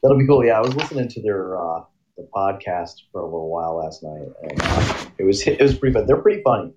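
A man's voice: deep voice, animated, quick pace, darkish tone, dull audio, slight background noise. A man co-hosting a crude comedy podcast, rambling with frequent laughs. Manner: rambling, laughing, jumping into silly voices. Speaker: podcast co-host. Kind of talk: crude casual chat.

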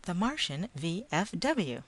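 A gentle, firm Martian ant, put on by a woman reading a storybook. His speech is calm, polite and measured, with a low, steady tone. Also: husky, dry recording, heavily acted.

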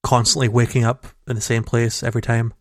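A man's voice: mid-range voice, little pitch movement, fast talker, no room sound. A man co-hosting a casual gaming podcast, talking in long rambling runs full of restarts, recorded raw on a home computer mic. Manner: rambling, many restarts and fillers. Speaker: podcast co-host. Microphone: home computer mic over a call. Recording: dry raw home audio.

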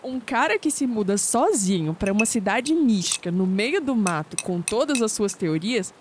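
An actress playing a guarded, teasing young woman researcher with a Brazilian accent in an audio drama, quick with sceptical retorts. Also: medium pitch, expressive, a little mellow, slight background noise, lightly acted. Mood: teasing, curious.

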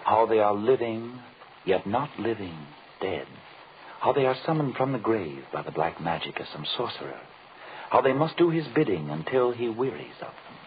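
An actor playing a learned, grave, condescending occultist in a 1940s radio drama, formal and deliberate in his speech. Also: fairly low pitch, very animated. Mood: grave, mysterious. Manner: theatrical, deliberate, rhetorical, formal. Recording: dull, noisy 1940s radio recording.

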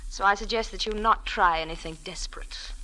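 A woman's voice: mid-range voice, brisk, pushed and bright, close to an everyday voice, rough recording. A woman playing a poised, defiant undercover narcotics agent in a 1940s radio drama, speaking in cool, formal phrases. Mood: commanding, smug. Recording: vintage 1940s radio recording.

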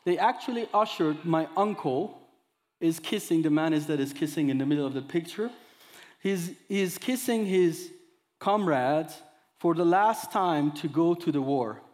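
A man in his thirties from Iran, speaking English with an Iranian accent, giving an expressive sermon that mixes scripture with his own testimony. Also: a little high, measured pace, long reverb tail. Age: 30s. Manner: expressive storyteller, acts out dialogue, audience laughter. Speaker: guest speaker.

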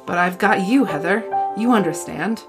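An anguished teenage boy read by a woman confesses and pleads, hot-tempered and pained. The voice sits at a medium pitch in a teen-novel reading with music behind it. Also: moderate pace, lightly acted. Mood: desperate.

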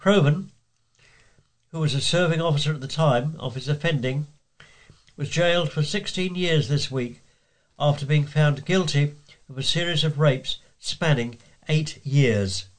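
Man, volunteer newsreader, slightly high pitch, scripted local news delivery. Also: a little slow, low-quality recording.

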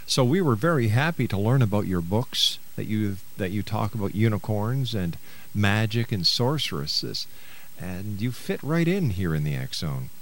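Male radio host, middle-aged, low voice, interview format. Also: lively intonation, normal speed, low-quality recording.

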